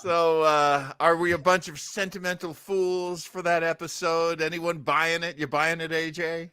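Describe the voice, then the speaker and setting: A man's voice: fairly high pitch, flat delivery, unhurried, bright, forceful tone, clear, resonant tone. A man hosting a show in casual, joking conversation. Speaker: host.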